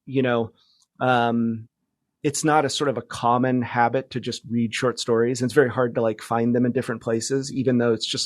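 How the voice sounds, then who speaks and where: A man's voice: medium pitch, no room sound, studio quality. A man speaking as a podcast guest in casual interview talk, explaining things in a loose, hesitant way.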